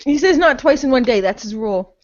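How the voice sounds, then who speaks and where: A woman with a high voice chats as a podcast co-host. She is blunt and crude, swearing easily as she banters. Her audio is muffled, like an online voice chat. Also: expressive, a little fast, slight background noise.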